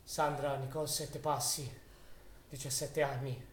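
An actor playing a grave chronicler of a wartime massacre in a dramatic stage narration. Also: male voice, medium pitch, level tone, unhurried, natural voice. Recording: roomy stage sound, faint noise.

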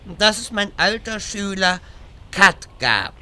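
A man's voice: high voice, animated, takes it slowly, bright, forceful tone, long reverb tail, heavily acted. A man playing a pompous, long-winded old wizard in a parody audio drama, with a grandiose, rambling delivery. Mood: scheming.

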